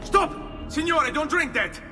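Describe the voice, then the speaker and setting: A man's voice: very high-pitched, expressive, measured pace. An actor playing an earnest, determined young nobleman turned assassin in a video game, with an Italian accent. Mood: urgent. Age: young adult. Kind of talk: video game cutscene acting. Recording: long reverb, clear music behind.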